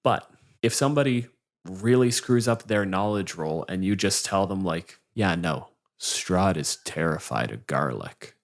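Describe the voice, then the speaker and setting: A man's voice: deep voice, animated, measured pace. A man co-hosting a casual podcast, joking dryly and swearing now and then. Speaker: podcast co-host.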